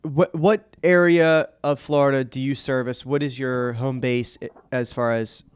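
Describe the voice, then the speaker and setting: A man hosting a casual interview podcast, asking quick, stacked questions. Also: mid-range voice, steady tone, clean tone. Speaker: podcast host.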